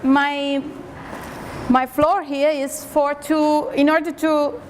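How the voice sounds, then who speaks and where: Hesitant woman, slow pace. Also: high voice, slight background noise, low-quality recording. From Cuba. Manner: hesitant, many fillers and restarts. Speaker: seminar speaker. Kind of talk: halting public remarks. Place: conference hall.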